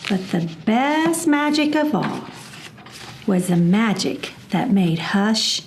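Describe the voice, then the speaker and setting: A woman reading a children's storybook aloud in a measured, expressive storytelling manner. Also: medium pitch, a little hiss or hum.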